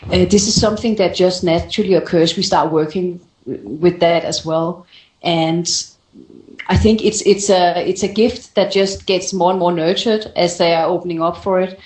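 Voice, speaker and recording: A woman's voice: fairly low pitch, darkish tone. A woman speaking as a voice coach on a call-in show, explaining conversationally and often rephrasing as she goes. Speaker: voice coach answering callers' questions. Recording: roomy, echoing sound.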